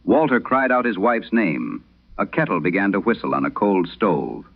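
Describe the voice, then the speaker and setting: A man playing an aging, haunted priest, telling a solemn horror radio monologue with measured, brooding, confessional delivery. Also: fairly low pitch, sing-song, creaky, muffled recording, full character voice. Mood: grim, dread-filled.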